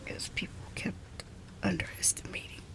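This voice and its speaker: A woman playing an exhausted, prickly scientist with a soft side in an intimate ASMR roleplay, halting and teasing, often slipping into a whisper. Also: close to an everyday voice. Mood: impressed, probing. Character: exhausted, prickly, passionate female scientist, secretly softening toward intruder. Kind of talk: ASMR roleplay, intimate one-sided dialogue.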